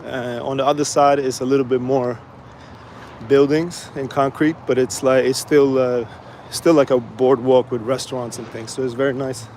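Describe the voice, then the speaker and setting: A man from Sweden casually chatting to viewers while walking outdoors by the water, relaxed and rambling with many fillers. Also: medium pitch, moderate pace. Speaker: vlogger. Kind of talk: casual live-stream walking vlog. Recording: faint outdoor street noise.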